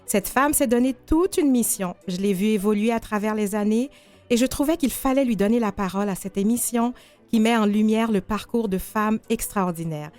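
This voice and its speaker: A woman's voice: mid-range voice, normal speed, darkish tone, faint music behind. A woman hosting a radio interview show, presenting and questioning her guest.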